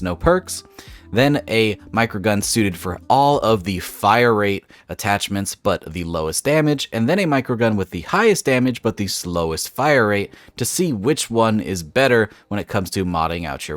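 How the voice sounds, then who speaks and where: A young man hosts a gaming video with an upbeat, casual voice-over, a bit stuffy from a cold. His voice sits fairly low, and soft music plays faintly behind him. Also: lively intonation, moderate pace. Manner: upbeat, explains step by step. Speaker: gaming channel host. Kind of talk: casual game mod showcase voice-over.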